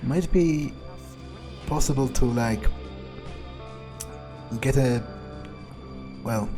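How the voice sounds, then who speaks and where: A man voicing a gruff, sardonic vampire vigilante in an in-character game playthrough, muttering and hesitant as he thinks aloud. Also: medium pitch, drawn-out words, warm tone, soft background music. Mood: musing. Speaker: role-playing gamer.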